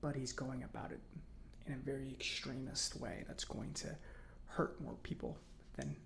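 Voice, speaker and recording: A man acts out a chatty male robot mechanic with firm opinions, in a soft ASMR roleplay. His voice is hushed and breathy with a lot of fry, at a middling pitch. He muses haltingly, as if confiding in a customer, over clearly audible noise. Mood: reflective, doubtful. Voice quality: soft, breathy, often near whisper. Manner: halting, musing, conversational.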